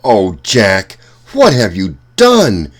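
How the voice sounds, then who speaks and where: A man's voice: lowish voice, wildly swooping pitch, very slow, pitched above the performer's own voice, exaggerated voice. A man voicing a poor, overworked widowed mother in a children's story, pleading and scolding in exclamations. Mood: angry. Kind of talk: children's storybook read-aloud.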